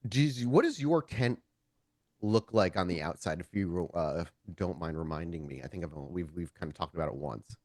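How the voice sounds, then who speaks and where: A man with a fairly low voice runs a tabletop game in a dry, close recording. He improvises descriptive narration, often rephrasing mid-thought as he sets a scene. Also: lively intonation, normal speed, darkish tone, dry recording. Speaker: game master (storyteller).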